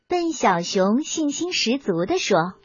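Lively high-voiced woman storyteller. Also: unhurried, husky. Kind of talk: animated scripted children's story narration. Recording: band-limited dull sound with music behind.

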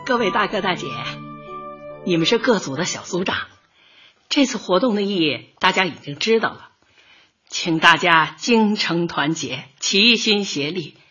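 Bright, husky, animated middle-aged community leader. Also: female voice, fairly low pitch, normal speed, dull audio, soft background music, full character voice. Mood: earnest, modest. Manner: animated, folksy, exclaiming. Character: cheerful, modest, take-charge community dance leader, middle-aged housewife. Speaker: dance troupe leader in an audio drama. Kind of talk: light comedy radio drama acting.

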